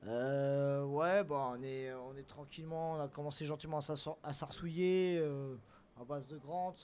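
Guy, voice a bit high, slangy joker tossing in wry little remarks on a radio chat. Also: steady tone, moderate pace, darkish tone, clean tone. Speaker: musician guest on a live radio show. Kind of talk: casual joking chat.

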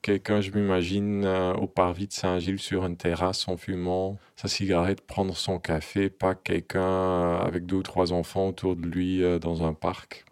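A man's voice: low voice, flat delivery, normal speed, hardly any pauses, smooth, no echo, clean studio sound. A man speculating casually and hesitantly in short, thoughtful answers. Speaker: listener guessing about a voice.

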